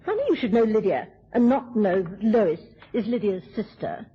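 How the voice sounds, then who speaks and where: An actress in a radio play as a brisk, bossy middle-aged aunt with a dry, sarcastic tongue. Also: female voice, mid-range voice, animated. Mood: brisk, tart. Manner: crisply commanding, dryly sarcastic. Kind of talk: radio drama acting. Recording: dull, lo-fi old radio recording.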